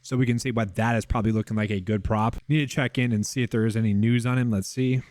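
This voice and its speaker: Fast-talking man losing his voice. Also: lowish voice, steady tone, darkish tone, dry recording. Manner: fast, conversational, addresses viewers as guys. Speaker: sports betting analyst host. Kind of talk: casual screen-share analysis.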